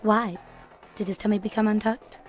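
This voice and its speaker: A woman's voice: medium pitch, flat delivery, moderate pace, lightly acted. Breezy ditzy glam boss lady actress voicing, playful, game cutscene, music behind. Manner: playful, cutesy. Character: breezy, ditzy, confident glamorous female bodyguard boss. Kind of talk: video game cutscene voice acting.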